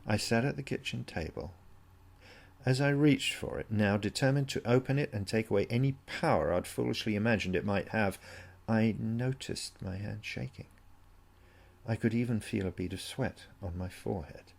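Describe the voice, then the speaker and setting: A man with a gravelly, husky voice narrating an eerie first-person story in a brooding, measured, suspenseful way, like a dramatic audiobook. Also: lowish voice.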